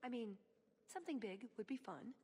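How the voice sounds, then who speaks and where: A woman's voice: a little high, expressive, moderate pace, darkish tone, slightly rough. A woman voicing a playful, irreverent young hunter in a video game, with a teasing, wry and breezy delivery. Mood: wry, confident. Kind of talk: video game dialogue acting. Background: game music.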